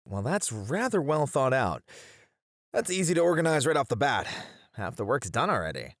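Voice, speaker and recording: A man's voice: mid-range voice, very animated, normal speed, a bit bright, dry recording, studio quality. A man acting in an ASMR roleplay as a playful educator with theatrical flair, bantering and flirty in his delivery. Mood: enthusiastic, encouraging.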